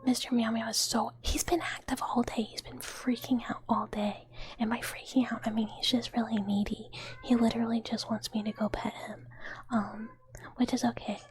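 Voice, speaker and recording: A young adult woman streamer chatting casually in a whisper, rambling with lots of fillers and soft giggles. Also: a little high, level tone, moderate pace.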